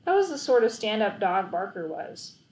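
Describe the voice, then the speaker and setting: A woman's voice: mid-range voice, very animated, normal speed, darkish tone. Echoey woman reading a children's book. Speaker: read-aloud reader. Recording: echoey, roomy sound.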